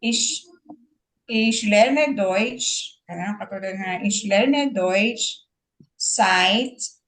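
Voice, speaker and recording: A woman's voice: medium pitch, drawn-out words. Give me an inquisitive woman student. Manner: inquisitive, asks the teacher questions.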